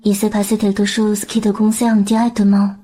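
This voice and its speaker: A woman playing an excitable young academy companion in a dubbed animated drama, expressive and full of exclamation. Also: mid-range voice, normal speed, natural voice. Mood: excited.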